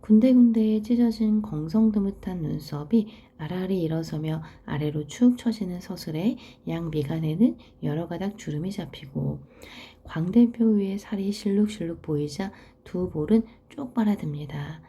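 A woman teaching literature, reading a story aloud and explaining it in a soft, half-whispered delivery. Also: on the low side, normal speed, husky.

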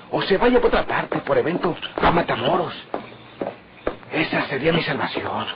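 An actor playing a nervous, scheming northern Mexican outlaw who grumbles to himself in colloquial exclamations in an old radio drama. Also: male voice, medium pitch, lightly acted. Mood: anxious, plotting. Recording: muffled, very noisy old radio recording.

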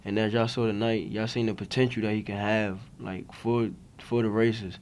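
A man's voice: lowish voice, little pitch movement, normal speed, a little mellow, a little hiss or hum. A young man, a college basketball player, answering reporters' questions after a game at a press table. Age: young adult. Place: press conference room. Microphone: table mics in front of him.

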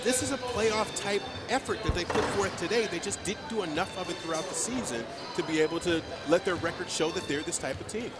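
High-voiced male analyst breaking down highlights. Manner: halting, repeats words while explaining plays. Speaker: color analyst. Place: studio set. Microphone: headset mic. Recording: music bed behind.

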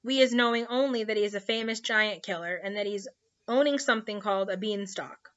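A woman's voice: medium pitch, fairly quick, a bit bright, muffled recording, close to an everyday voice. A woman voicing a friendly, cautious male giant in a storybook, explaining things in folksy broken grammar. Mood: hushed, explaining.

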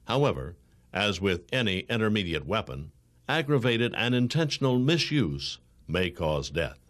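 A low-pitched American man delivers scripted training narration. His tone is formal and authoritative, with lively rises and falls across each measured, instructional sentence.

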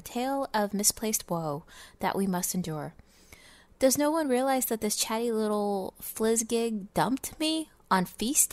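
A miserly, self-satisfied businessman, acted out by a woman tells his holiday tale from a fantasy storybook. The delivery is theatrical and pompous, full of scorn, in a medium-pitched voice with a dry, close sound. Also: a little mellow, no room sound, close to an everyday voice. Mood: sneering. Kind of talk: dramatic reading of fantasy game lore.

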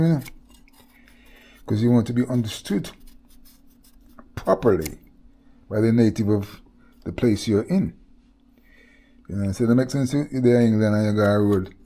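A man's voice: medium pitch, mellow, breathy edge. Laid-back Jamaican man in his kitchen. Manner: laid-back, drifting into Patois, chuckling asides. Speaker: cooking vlogger. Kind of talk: casual cooking chat with storytelling. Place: home kitchen, indoors. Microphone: head-mounted camera, hands-on cooking.

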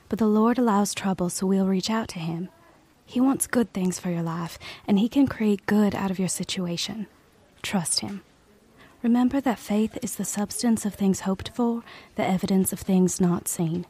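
An actress as a husky, gentle American night nurse. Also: female voice, mid-range voice, close to an everyday voice. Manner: earnest, consoling. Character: gentle, devout female night nurse, encouraging. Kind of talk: radio drama acting.

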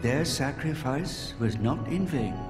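An actor playing a composed, thoughtful man who speaks gravely in a video game cutscene. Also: mid-range voice, lively intonation, measured pace, natural voice. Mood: measured, reflective. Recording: long reverb, loud music behind.

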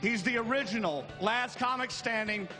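A man's voice: extremely high pitch, moderate pace. A male TV host introducing a comedian to the stage, building hype toward the name. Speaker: TV show host. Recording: loud music.

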